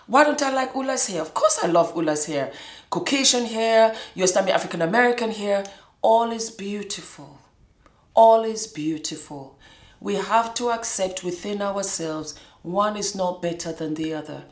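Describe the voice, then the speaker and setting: A woman who grew up in Scotland, hosting a show and talking through her own experiences in an animated way, often breaking into laughter. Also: medium pitch, normal speed, roomy sound. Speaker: host. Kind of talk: casual personal monologue.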